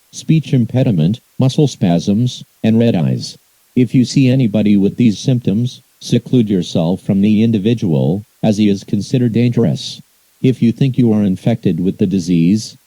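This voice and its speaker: A man reading a scripted emergency broadcast announcement in a flat, formal, measured, synthetic-sounding manner. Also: lowish voice, no room sound, low-quality recording.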